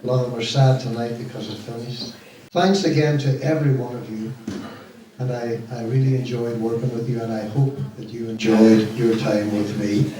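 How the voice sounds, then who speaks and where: A man giving an informal, sincere thank-you speech in a halting way. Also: mid-range voice, fairly even intonation, mellow. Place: large echoey indoor hall, recorded from far off. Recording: echoey hall, distant mic, noisy amateur recording.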